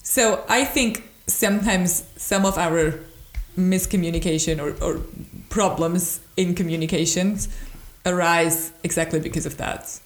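A woman's voice: lowish voice, a little slow. A woman whose first language is German, chatting casually in a thoughtful way and searching for words. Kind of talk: casual chat. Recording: echoey room.

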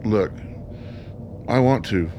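A lowish male voice with faint noise behind it, an actor as an anxious, awkward inmate in a radio drama. He hesitates, stammers and rambles evasively. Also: slow pace. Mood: nervous, rambling. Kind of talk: radio play dialogue.

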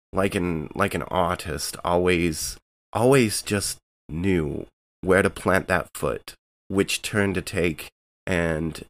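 A man takes part in a tabletop game, feeling his way through each sentence with halting restarts. His voice sits low and his pace is slow. The recording is dry and close. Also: a bit bright, dry recording. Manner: halting, searching for words with many restarts. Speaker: player. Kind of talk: tabletop roleplay chat.

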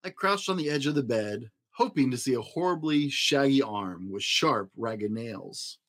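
A man narrating a children's picture book in an expressive storytelling style. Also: medium pitch, a little slow. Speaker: storybook reader.

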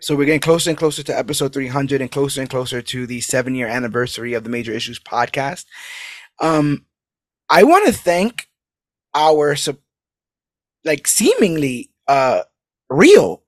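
A man's voice: mid-range voice, animated, moderate pace, no echo. A man hosting a podcast, casually rambling through an upbeat outro full of plugs and calls to support the show. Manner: rambling, upbeat, hype-driven promo talk. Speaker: podcast host.

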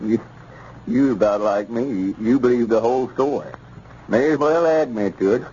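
An actor playing a self-assured country storekeeper in 1930s radio comedy, speaking in a rural Southern drawl with a teasing, matter-of-fact manner. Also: male voice, medium pitch, moderate pace, warm tone, lightly acted. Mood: reflective. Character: self-assured country storekeeper Lum, middle-aged man. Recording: dull old radio recording with faint hiss.

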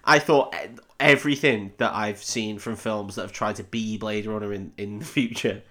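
A man's voice: fairly low pitch, steady tone, normal speed, fairly bright tone. A man co-hosting a film podcast in casual chat, with a jokey, sweary manner.

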